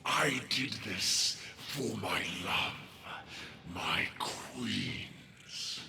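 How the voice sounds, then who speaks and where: Fanatical diseased warlord for a game: very slow, gloating, wildly swinging pitch, distant noisy sound. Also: male voice, expressive, gravelly, roomy sound, heavily acted. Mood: fervent, sinister. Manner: slow, theatrical, gloating, dramatic delivery. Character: menacing, fanatical diseased Grineer commander, half-machine villain. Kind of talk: video game villain acting.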